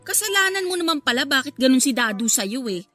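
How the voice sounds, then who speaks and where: A brazen confidante in a radio drama. She has a high voice and challenges bluntly, with scornful, nagging phrasing. Faint music plays behind her. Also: big pitch swings, brisk, bright, forceful tone, exaggerated voice. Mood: annoyed, challenging. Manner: scornful, confrontational. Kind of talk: Filipino radio drama acting.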